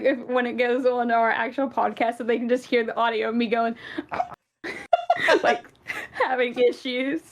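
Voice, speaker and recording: Chatty Southern woman, slightly gravelly. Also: a little high. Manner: chatty, rambling, many fillers. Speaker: podcast co-host. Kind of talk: casual fan podcast chat.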